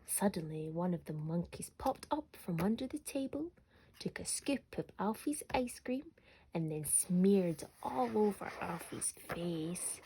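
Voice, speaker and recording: A woman storyteller narrating a children's bedtime story expressively, sometimes dropping to a hush. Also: lowish voice, animated, a little slow, a little hiss or hum, poor audio.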